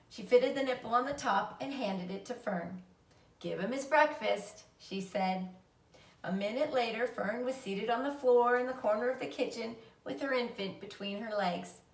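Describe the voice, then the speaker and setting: A woman narrating a children's story aloud like a teacher reading to a class. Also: on the high side. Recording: roomy echo, distant mic.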